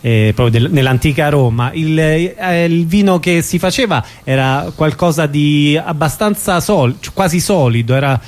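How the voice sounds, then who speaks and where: A man's voice: on the high side, moderate pace, few pauses. A man interviewing informally on radio, feeling for his words as he puts questions.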